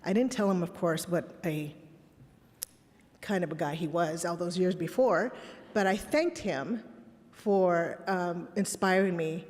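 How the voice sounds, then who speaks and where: A woman's voice: on the low side, a little slow, a little mellow. Woman giving a candid keynote, hall reverb. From Texas border town, raised in Los Angeles. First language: Spanish. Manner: candid, reflective storytelling with rhetorical questions. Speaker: conference speaker. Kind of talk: personal keynote speech. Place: conference hall. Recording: long reverb in a large hall.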